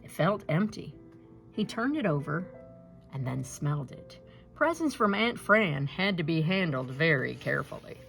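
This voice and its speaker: Female picture-book reader, expressive and deliberate, with a lively pitch range over background music. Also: vocal fry. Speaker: storybook reader. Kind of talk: scripted children's picture-book read-aloud.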